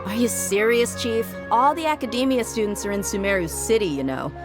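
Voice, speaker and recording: An actress playing a tough young bodyguard in a video game, blunt and casual but good-hearted. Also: female voice, on the high side, expressive, fairly quick, close to an everyday voice. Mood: skeptical, warning. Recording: music behind the voice.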